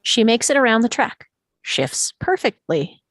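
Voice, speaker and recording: A woman's voice: fairly high pitch, sing-song, measured pace, a bit bright, dry recording, clean studio sound. A woman reading stage directions as the narrator of a live script table read.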